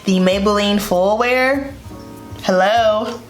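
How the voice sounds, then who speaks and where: A woman's voice: medium pitch, slow pace. A woman in her thirties with a Southern US accent talks through a makeup tutorial at her vanity, chatty and upbeat, often repeating words for emphasis. Her voice is a touch congested from a cold. The room is echoey, with faint music behind her. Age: 30s. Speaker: beauty vlogger. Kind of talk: casual makeup tutorial chat. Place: bedroom vanity room, indoors.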